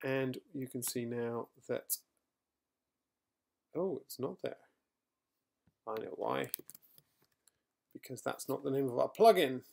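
A middle-aged man recorded at home, talking through a software tutorial as he types, with fillers and quick self-corrections. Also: medium pitch, creaky. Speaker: software trainer. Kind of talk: screencast coding tutorial. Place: quiet home room.

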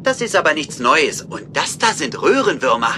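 An actor voicing a clumsy, excitable animal scientist in a children's cartoon audio drama, explaining things hesitantly. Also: male voice, a little high, moderate pace, background noise. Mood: fascinated.

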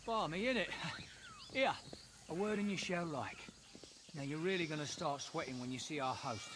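A man's voice: on the high side, normal speed, noisy background, natural voice. A man playing a brash, sly, hard-partying music manager with a British accent and cheeky slangy banter in a video game. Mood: cheeky, impatient. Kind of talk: video game cutscene acting.

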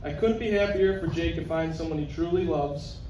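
A man giving a prepared wedding speech for his cousin, warm and reading from notes, recorded from the audience. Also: on the high side, brisk. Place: wedding reception hall. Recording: echoey hall from far off, noisy.

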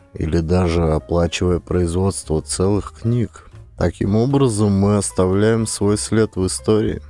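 A man reading scripted narration as an audiobook narrator, with a deep, gravelly voice. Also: warm tone.

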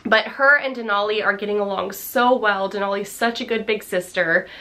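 Woman, 30s, bright voice, slightly hoarse, chatty upbeat vlog, desk camera in a home office. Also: mid-range voice, normal speed, low-quality recording. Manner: chatty, upbeat, many fillers and little laughs. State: slightly hoarse after losing her voice. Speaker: vlogger. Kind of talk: casual vlog chat. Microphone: camera on the desk facing her.

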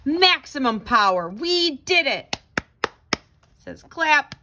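A woman reading a children's picture book aloud in an expressive, dramatic, exclaiming way. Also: sits high, slow pace, noisy background. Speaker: storybook reader.

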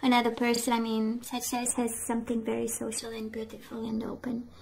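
A woman giving an intimate tarot reading monologue, halting, with phrases that trail into whispers. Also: fairly high pitch, monotone, vocal fry, darkish tone. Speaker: tarot reader.

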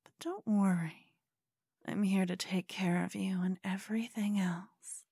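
A woman's voice: medium pitch, steady tone, a little slow, mellow, breathy edge, dry recording, clean studio sound. A woman playing a cute, obsessed, scheming yandere con woman in an ASMR roleplay, coy and cooing, often slipping into whispers. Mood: tender, possessive.

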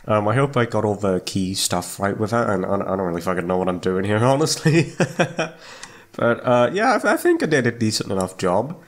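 A man's voice: fairly low pitch, very animated, a little fast. A young man in casual unscripted chat to listeners, rambling and self-deprecating, with loose swearing. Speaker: audio roleplay voice actor.

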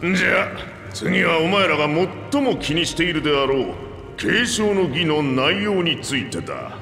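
A man playing an aging two-headed reptilian king in a video game, commanding, frank and gruff in his manner. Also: on the high side, very animated, normal speed, sharp tone, heavily acted. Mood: assured. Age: older. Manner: gruff, regal, plain-spoken old-ruler speech. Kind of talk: Japanese video game voice acting. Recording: echoey hall reverb, background music.

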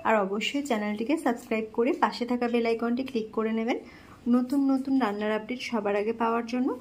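A woman with a Bengali accent and a high voice plainly explains each step of a home recipe. She speaks calmly while she cooks at the stove. Faint frying and stirring sounds are heard behind her. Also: fast talker, slight background noise. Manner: calm, plain instructional tone, listing ingredients. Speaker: cooking channel host.

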